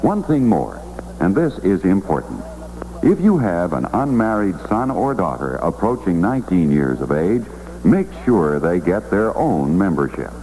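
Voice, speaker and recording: Deep, animated man reading a radio commercial. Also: unhurried, low-quality recording. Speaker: advertisement announcer. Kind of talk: scripted 1960s radio commercial narration. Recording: old radio broadcast with faint hum.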